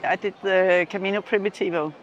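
Danish woman, 50s, reflective interviewee, low voice for a woman, lapel mic in a city square. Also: steady tone, brisk, lo-fi sound. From Denmark. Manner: reflective, laughs while talking. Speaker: interviewed pilgrim. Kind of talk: casual street interview.

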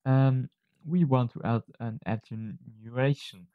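A man presenting an unscripted software tutorial, explaining step by step in a halting, hesitant way. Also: mid-range voice, dry recording, phone-quality sound.

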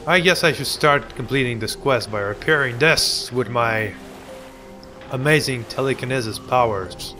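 A man's voice: medium pitch, normal speed, pushed and bright, faint music behind. A young man giving casual, sarcastic let's play commentary, joking and ranting about the game. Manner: goofy, sarcastic, hums and mock voices.

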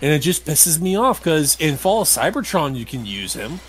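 A man's voice: a little high, sing-song, normal speed, a bit bright, a little hiss or hum. A man giving casual game commentary as he plays, grumbling and critical with some mild swearing. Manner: grumbling, critical, mildly profane. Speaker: let's play host playing the game.

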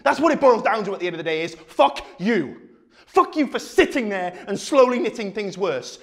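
An actor playing a desperate, furious man raging at his audience in a halting, repetitive dramatic monologue. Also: high voice, animated. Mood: enraged, anguished. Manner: halting, repeating, swearing, building to outbursts.

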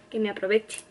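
High-voiced young Castilian Spanish woman vlogging. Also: heavy background noise. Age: young adult. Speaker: lifestyle vlogger. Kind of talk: casual chat to camera. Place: small apartment, indoors. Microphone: handheld camera or camera on desk, close range.

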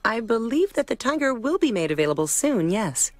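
A woman's voice: a little high, big pitch swings, fairly quick, dry recording. An actress playing a courteous female bank representative in a game cutscene. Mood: polite. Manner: courteous, formal sales tone.

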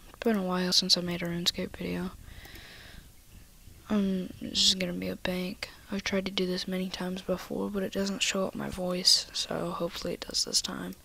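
A woman casually walking through her game inventory in a soft, half-whispered voice, a little nasal from a cold, recorded on a computer mic. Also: lowish voice, flat delivery, moderate pace, gravelly, slight background noise. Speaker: gaming video maker.

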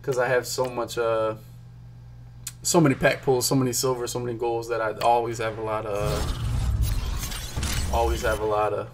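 A young man from Philadelphia, a gaming streamer, chatting casually and excitedly to his chat while opening card packs in a small room at home. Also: medium pitch, normal speed, long pauses, a little hiss or hum. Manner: chatty, hyped, talks to chat while clicking. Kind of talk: casual pack-opening commentary.

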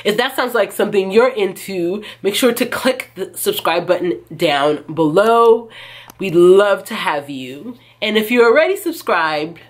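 A woman's voice: mid-range voice, lively intonation, a little slow. A young woman hosting her fashion channel, sounding nasal and stuffy from a cold, chatty and bubbly as she talks to the camera in her living room. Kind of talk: casual lookbook intro to camera.

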